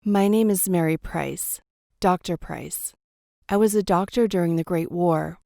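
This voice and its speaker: Woman doing ghost lady doctor in a radio play, earnest n frank, dark mellow voice, kinda creaky. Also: lowish voice, unhurried, no echo, clean studio sound. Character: earnest female ghost of a Great War doctor. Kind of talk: radio drama acting.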